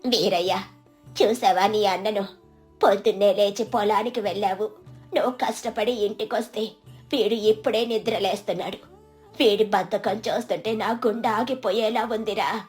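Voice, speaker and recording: A woman voicing a worried mother of grown sons, plaintive and exasperated, in an animated moral story. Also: medium pitch, moderate pace, faint music behind, lightly acted.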